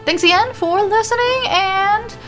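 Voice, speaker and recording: A woman's voice: very high voice, unhurried, loud music behind the voice. A woman narrating a serial fan-fiction story as its host. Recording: clearly noisy recording.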